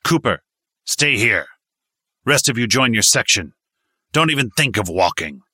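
A man voicing a gruff, scornful male army drill sergeant who barks orders. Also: sits low, expressive, moderate pace, pushed and bright, no room sound, clean studio sound, full character voice. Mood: threatening. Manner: barking commands.

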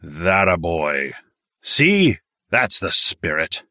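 A man voicing a brash, domineering wealthy businessman in an audiobook, forceful and cocksure. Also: deep voice, sing-song, drawn-out words, dry recording, clean studio sound, full character voice. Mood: proud, rousing.

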